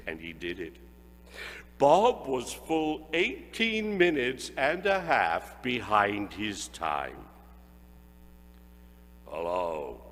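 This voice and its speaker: Dramatic male reader, very lively pitch, slow delivery; hall reverb, faint mains hum. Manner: expressive, relishing phrases. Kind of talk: dramatic storybook reading.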